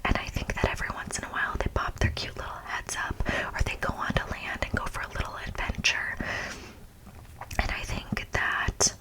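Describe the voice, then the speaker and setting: Young female ASMR host, fully whispered and breathy, chatty informal delivery with mock seriousness. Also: bright, forceful tone, rough recording. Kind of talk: whispered ASMR, casual presentation.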